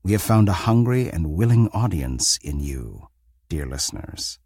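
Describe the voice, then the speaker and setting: A man hosting an audio drama anthology podcast, reading scripted narration in a formal, theatrical manner with deliberate phrasing. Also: sits low, very animated, gravelly, a little mellow, husky, no echo, studio quality.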